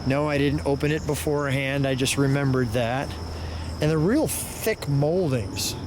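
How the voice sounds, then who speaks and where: A man's voice: medium pitch, moderate pace. An enthusiastic American man hosting a casual car walkaround, chatting and commenting as he goes. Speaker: host of a car video series. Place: outdoors beside a parked car. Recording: noisy outdoor recording, insects and vehicles.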